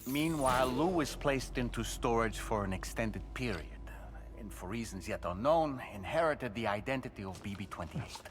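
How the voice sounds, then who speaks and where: An actor playing a thoughtful, probing colleague in a video game cutscene, speaking in a musing way. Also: male voice, mid-range voice, lively intonation, normal speed, lightly acted. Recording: loud music behind the voice.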